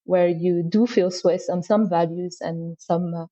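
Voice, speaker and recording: Soft dark-voiced young woman, lo-fi. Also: fairly low pitch, steady tone, a little slow. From Afghanistan. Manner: thoughtful, many fillers. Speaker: guest, PhD scientist. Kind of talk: podcast interview answers. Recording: dull lo-fi audio.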